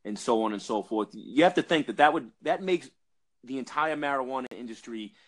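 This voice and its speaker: A man's voice: mid-range voice, lively intonation, brisk. A man from Massachusetts with a Boston accent, telling an industry story in a casual podcast chat with lots of fillers and restarts. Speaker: cannabis industry guest.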